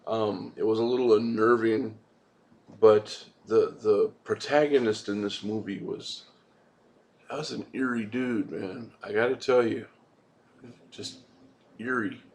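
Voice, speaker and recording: A man's voice: fairly low pitch, steady tone, unhurried, pauses often, a little mellow, slight background noise. A man hosting a movie review vlog, rambling casually in an informal, laid-back way with some swearing.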